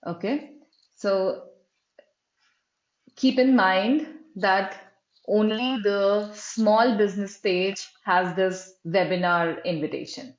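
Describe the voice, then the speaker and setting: A woman walks through a website review in a conversational style, often checking in with "right?" and pausing on fillers. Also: medium pitch. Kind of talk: screen-share walkthrough commentary. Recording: roomy, dull lo-fi sound.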